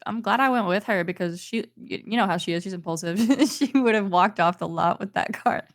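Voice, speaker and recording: A woman's voice: medium pitch, brisk, dry recording, clean studio sound. A young woman, co-hosting a podcast, chatty and laughing often as she tells stories. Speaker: podcast co-host. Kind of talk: casual chat.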